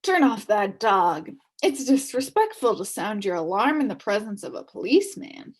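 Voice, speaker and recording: A woman's voice: mid-range voice, sing-song, normal speed, slightly rough. A woman voicing a tiny, squat, fierce policeman in a storybook, blustering, officious and full of accusations. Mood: scowling.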